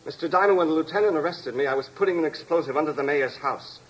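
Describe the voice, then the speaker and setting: An actor playing a deranged escaped convict, calm, polite and coldly menacing, in the style of a 1950s radio drama. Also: male voice, a little high, fast talker, lightly acted. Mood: smug, threatening. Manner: polite, composed, coldly precise. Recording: noisy vintage radio recording.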